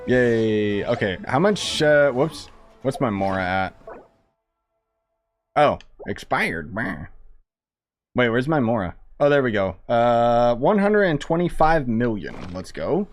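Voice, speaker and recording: A man's voice: mid-range voice, big pitch swings, slow pace. A man live streaming a video game with laid-back, jokey, off-the-cuff commentary. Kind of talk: casual gaming commentary. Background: game music.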